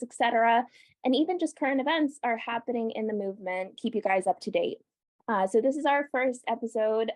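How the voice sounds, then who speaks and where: Woman, from the US, podcast co-host, upbeat, laughing, higher pitch. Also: a little mellow. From United States. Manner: upbeat, laughs often, fillers. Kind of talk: casual chat.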